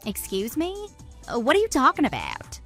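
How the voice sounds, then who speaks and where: A woman's voice: high voice, wildly swooping pitch, normal speed, a bit bright, soft background music, full character voice. A woman playing a greedy, haughty, easily shocked mistress in a scripted audio drama. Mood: shocked, incredulous. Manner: haughty, gloating, incredulous. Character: smug, greedy, gold-digging young woman, easily shocked.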